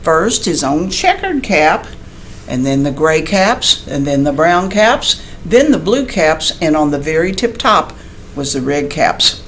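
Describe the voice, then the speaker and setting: A woman reads to young children in the manner of a teacher. Her pitch swings widely and her pace is slow, with expressive phrasing and chatty asides straight to the listeners. There is noticeable background noise in the recording. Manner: expressive, addresses young listeners directly with questions and explanations. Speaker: teacher reading a picture book to young children. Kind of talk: animated children's read-aloud with chatty asides.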